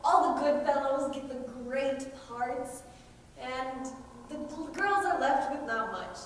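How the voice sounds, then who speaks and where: A woman's voice: sits high, slow. A teenage girl playing a wry, indignant storyteller in a school stage play, theatrical in delivery. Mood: wry scorn. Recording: distant, echoey stage recording with noise.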